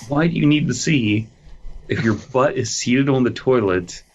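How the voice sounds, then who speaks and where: A giggly man reads a story aloud on a podcast, cracking jokes between lines. His voice sits at an ordinary pitch and comes over a dull, muffled online call line. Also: moderate pace, slight background noise. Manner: giggly, breaks into laughter while reading. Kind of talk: casual comedic banter and story reading. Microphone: online call mic.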